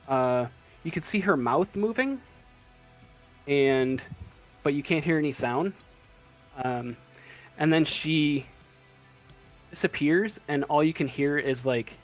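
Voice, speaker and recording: A man running a tabletop game as game master, narrating off the cuff and halting as he searches for words. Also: mid-range voice, faint music behind.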